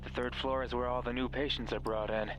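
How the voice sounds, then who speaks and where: A man's voice: medium pitch, flat delivery, a little fast, background noise, close to an everyday voice. An actor playing a mysterious, controlling male voice in a horror game, taunting, sardonic and condescending. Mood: sardonic storytelling. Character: mocking, cruel, controlling mysterious male voice on a radio.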